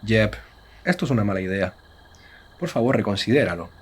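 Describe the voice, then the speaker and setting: A man's voice: lowish voice, animated, fast, breathy edge. A man playing a serious, cautious monster hunter with a Spanish accent, speaking in earnest, formal phrases with Spanish interjections in a comedy horror audio drama. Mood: worried, pleading.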